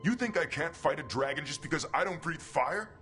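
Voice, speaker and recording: A man's voice: a little high, lively intonation, a little fast, a bit bright. A reckless, bragging treasure hunter in a fantasy game. His theatrical voice swings widely, with music behind it. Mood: indignant, sulky. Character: boastful, reckless treasure hunter hungry for glory. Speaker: voice actor. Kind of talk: video game cutscene acting.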